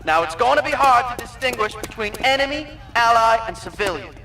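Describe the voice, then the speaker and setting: An actor playing a stern, sardonic, gung-ho American Marine commander delivering a rallying military briefing in a video game. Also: male voice, extremely high pitch, expressive, fairly quick, heavy background noise. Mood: stern, rousing.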